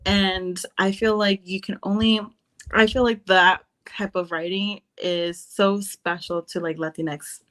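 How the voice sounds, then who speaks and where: Young woman cohost, from texas, says like and um a lot, excited chat, video call mic. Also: mid-range voice, a little slow. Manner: enthusiastic, lots of fillers like um and like. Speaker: co-host. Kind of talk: casual chat.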